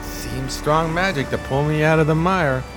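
A man's voice: on the high side, normal speed, music in the background, natural voice. An actor playing an eloquent, melancholy homeless man of 1920s New York in florid radio-theater style. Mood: stirred, resolute. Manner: florid, grandiloquent, theatrical phrasing.

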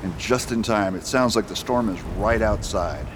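A man's voice: lowish voice, moderate pace, noisy background, lightly acted. A man playing a determined US marshal in a radio drama, speaking earnestly and insistently. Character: determined male US marshal, stubborn and dutiful. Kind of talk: amateur radio drama acting.

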